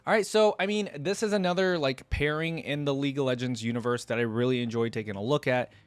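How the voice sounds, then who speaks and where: Man, medium pitch, casual reaction host, unscripted rambling chat, conversational, dry room-free sound. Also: quick pace, clear, resonant tone, dry recording, faint music behind.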